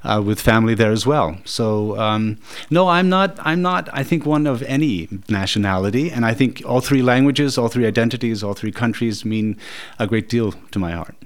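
A man from Norway, a guest in a radio studio, answering thoughtfully with frequent pauses and fillers. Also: mid-range voice, expressive. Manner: thoughtful, hesitant with many fillers. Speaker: guest, festival director.

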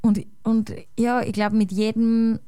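A woman with a Salzburg Austrian German accent talks in a relaxed, chatty way, circling her thoughts with fillers and tag questions. Her voice is smooth and resonant with a dark, warm tone, recorded close and dry. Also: on the high side, a little slow, no echo. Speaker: guest musician. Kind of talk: casual conversation.

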